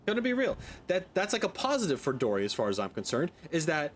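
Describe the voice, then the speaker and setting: A man's voice: a little high, wildly swooping pitch, quick pace, a little hiss or hum. A man giving casual reaction commentary as a game streamer, opinionated, informal and jokey.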